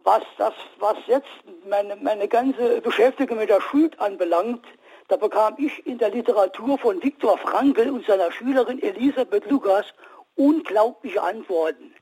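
Husky-voiced man in his sixties, calling in. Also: sits high, darkish tone, rough recording. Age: 60s. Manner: halting, many restarts and fillers. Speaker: caller. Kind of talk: call-in radio testimony.

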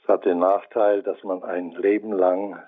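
A man with a Franconian German accent giving a measured, scholarly radio commentary, sometimes pausing to search for words. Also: low-pitched, level tone, narrow, tinny audio. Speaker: commentator on Bible translation. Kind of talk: reflective spoken radio essay.

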